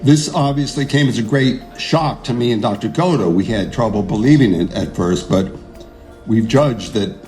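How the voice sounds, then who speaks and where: An actor playing an earnest, idealistic neuroscientist in an audio drama, speaking in a measured, deliberate way. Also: male voice, mid-range voice, darkish tone, faint music behind, close to an everyday voice. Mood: measured, grave. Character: earnest idealistic male neuroscientist, defiant under pressure. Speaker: neuroscientist, lead character. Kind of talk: audio drama narration and dialogue.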